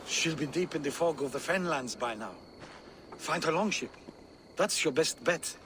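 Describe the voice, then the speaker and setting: Proud, beaten male Viking soldier for a game man acting: high-pitched, emphatic, embittered; light ambient noise. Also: normal speed, fairly bright tone, lightly acted. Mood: subdued. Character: beaten but loyal Danish Viking warrior man, proud. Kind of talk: dramatic video game cutscene acting.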